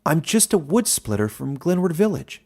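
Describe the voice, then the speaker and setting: A man's voice: on the high side, big pitch swings, normal speed, gravelly, dark tone, husky, pitched above the performer's own voice, heavily acted. A man voicing a humble, bewildered young woodcutter boy who stammers, in a fantasy story. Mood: flustered.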